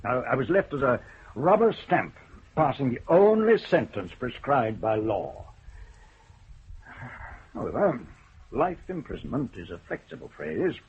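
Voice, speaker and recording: A stern older judge with a dry, ironic turn of phrase. His voice is very husky and his pitch moves about a great deal as he weighs each word. It sounds like an old radio broadcast with narrow, muffled sound. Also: big pitch swings, exaggerated voice. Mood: measured. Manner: measured, wry, deliberate. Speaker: senior judge. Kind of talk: 1970s BBC radio drama acting.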